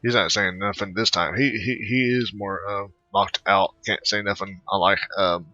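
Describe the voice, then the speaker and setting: A man giving casual, rambling, halting commentary over his video game playthrough. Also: on the low side, steady tone, pushed and bright, dry recording, soft background music. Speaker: gamer recording a playthrough. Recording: dull, close dry microphone.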